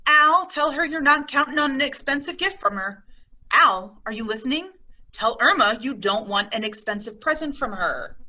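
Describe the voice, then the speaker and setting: A woman playing a sensible, exasperated young woman with a wry, brisk manner in an old-time radio comedy. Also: sits high, animated, bright, forceful tone, full character voice.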